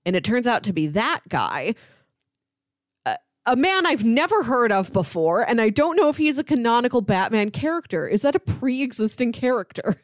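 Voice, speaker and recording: A woman's voice: a little high, sing-song, moderate pace, no room sound, studio quality. A woman co-hosting a podcast, talking casually and conversationally as she discusses stories. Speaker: podcast co-host. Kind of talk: casual discussion of comics and film.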